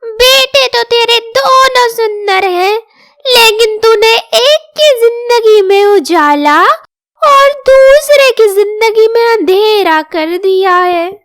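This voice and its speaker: A woman's voice: very high voice, animated, takes it slowly, a bit bright, no room sound, clean studio sound, voice pushed up, heavily acted. A woman voicing a spiteful, theatrical neighbourhood aunty in a story, with exaggerated taunts. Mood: mocking.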